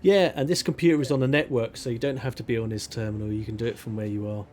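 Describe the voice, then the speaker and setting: Relaxed Yorkshire man running a game. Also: mid-range voice, quick pace. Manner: relaxed, chatty, explains rules. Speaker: game master. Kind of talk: narrating a tabletop game.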